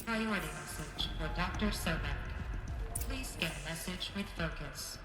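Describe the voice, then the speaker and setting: A woman's voice: fairly low pitch, a little slow, natural voice. A woman voicing an impersonal, polite facility computer in a video game, making formal announcements. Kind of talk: scripted game system announcement. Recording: echoing hall, long reverb.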